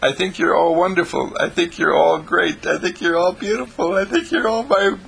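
A man's voice: high-pitched, moderate pace, vocal fry, a bit bright, full character voice. A man voicing an earnest, chatty four-year-old boy who speaks in repetitive, childlike phrases.

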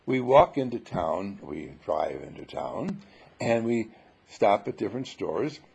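A man giving an informal spiritual sermon full of rhetorical questions, emphatic and dramatic, with a husky, gravelly voice. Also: on the low side, big pitch swings, a little slow, a little mellow, dull audio. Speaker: spiritual teacher.

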